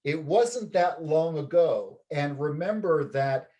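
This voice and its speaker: A man from Canada, a documentary film director, giving a measured, reflective interview with many ums and pauses. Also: a little high, animated, warm tone.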